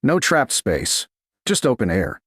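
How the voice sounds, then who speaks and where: A man narrating a scripted romance story in a measured, dramatic way, in short clipped phrases. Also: mid-range voice, very animated, a bit bright, husky, dry recording, clean studio sound. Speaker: audiobook narrator.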